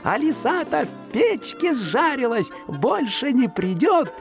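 A woman voicing a tiny, plucky, cheeky little boy in a storybook, with shrill childlike pleading and boasting. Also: big pitch swings, takes it slowly, music in the background, full character voice. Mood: triumphant.

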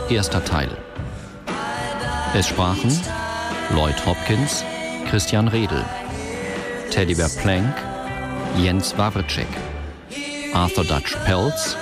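Guy announcing radio drama credits, slow and measured, music really loud behind him. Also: low voice, lively intonation. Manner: measured, formal.

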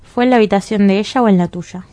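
A medium-pitched Argentine female lover in a radio play , played by an actress. She is frank and teasing, pressing with curious, drawn-out questions and refusing to let anything drop. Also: warm tone, lightly acted. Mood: insistent, teasing. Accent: Argentine Rioplatense. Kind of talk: radio drama acting.

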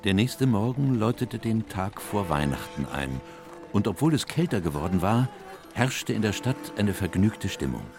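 Deep-voiced, reflective doctor narrator. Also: male voice, very animated, over music. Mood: calm, descriptive. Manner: measured, literary. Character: sober, reflective gentleman doctor narrating his memoir. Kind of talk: radio play scripted narration.

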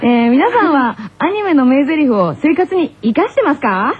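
A woman's voice: high-pitched, very animated, normal speed, a crowd in the background. A playful, chatty woman hosting a casual radio show. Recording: tinny narrow-band radio, faint noise.

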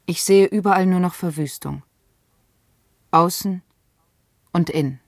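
A woman's voice: lowish voice, unhurried, no echo, studio quality. An actress playing a grave, despairing woman reciting a letter in a 1970s German sci-fi radio drama.

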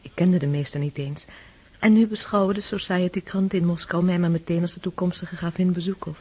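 Young society lady, soft dark timbre, breathy, confiding, romantic gossip for period radio drama. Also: fairly low pitch, steady tone, fairly quick. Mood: confiding, gossipy. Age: young adult. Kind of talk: Dutch radio drama acting, reading a letter.

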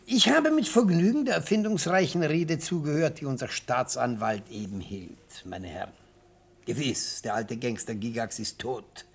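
Elderly defence lawyer, theatrical oratory, raspy husky voice, highly animated intonation, rhetorical pauses, radio play. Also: male voice. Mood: indignant pleading. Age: older. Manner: rhetorical, declamatory, savouring pauses. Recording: vintage radio play recording.

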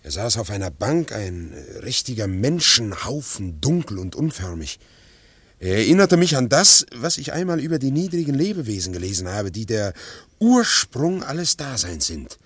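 A pensive, awed secretary in a radio drama. His husky voice rises and falls expressively as he searches for words, in a very dry studio sound. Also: fairly low pitch, sing-song, fairly bright tone, dry recording, exaggerated voice. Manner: reflective, halting, searching for words. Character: pensive, awed former secretary recalling his uncanny master. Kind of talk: German radio play acting.

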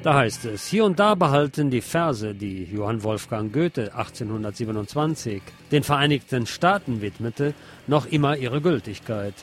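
Male radio host, mid-pitched voice, scripted commentary reading, light music underneath. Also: lively intonation, moderate pace. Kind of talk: reading news commentary aloud.

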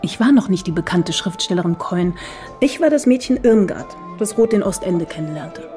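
A woman's voice: on the low side, expressive, moderate pace, dark tone, soft background music, close to an everyday voice. An actress playing a woman writer who looks back fondly and reflectively in a radio play memoir. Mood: fond, wistful. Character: admiring woman writer recalling her younger days.

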